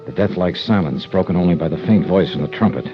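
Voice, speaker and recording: A man's voice: low-pitched, fast, muffled recording, natural voice. An actor playing a wry American investigator who narrates his case in dry, dramatic 1950s radio detective style. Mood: wry, intrigued. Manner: dry, dramatic, clipped storytelling. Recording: old radio broadcast, limited band. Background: dramatic music.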